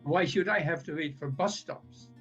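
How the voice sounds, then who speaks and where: A man acting an indignant, higher-voiced old husband. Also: moderate pace, fairly bright tone, lo-fi sound, faint music behind, natural voice. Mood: resentful. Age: 70s. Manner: protesting, indignant. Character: proud, resentful 77-year-old husband losing his driving. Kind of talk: audio play stage acting.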